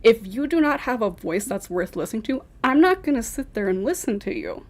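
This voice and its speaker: A woman's voice: a little high, very animated, a little fast, darkish tone. A woman co-hosting a podcast, talking bluntly and emphatically in a casual group chat over a video call. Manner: blunt, emphatic, repeats points. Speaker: podcast co-host.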